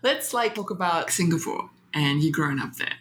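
A woman hosting a podcast from home, asking relaxed questions full of "like". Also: deep voice, moderate pace. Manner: relaxed, filler-heavy questions. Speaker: podcast host. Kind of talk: casual podcast chat.

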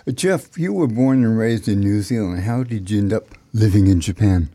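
Mellow-voiced man hosting an interview. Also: on the low side, moderate pace. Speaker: radio show host, interviewer. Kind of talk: conversational interview.

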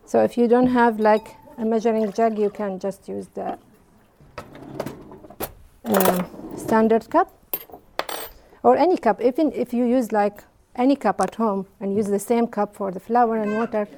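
A woman's voice: on the high side, creaky, warm tone, a little hiss or hum. Iraqi-born woman teaching baking. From Iraq. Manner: simple, halting English with fillers. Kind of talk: hands-on cooking demonstration. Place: community hall kitchen.

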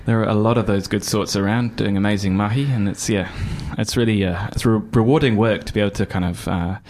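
Man, British accent with New Zealand touches, low voice, halting, enthusiastic, interview guest. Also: fairly even intonation, darkish tone. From United Kingdom. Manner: halting, many fillers and restarts; upbeat and enthusiastic. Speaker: Red Cross volunteer coordinator.

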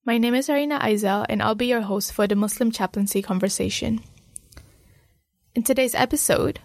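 A woman radio host reading her introduction in a measured, formal way. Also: medium pitch, dry recording. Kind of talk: scripted radio hosting.

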